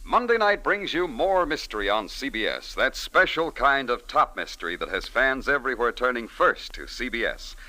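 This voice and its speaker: A man's voice: medium pitch, very animated, normal speed, hardly any pauses, bright, forceful tone, rough recording. A man with an American accent announcing a mystery programme in polished 1940s radio style.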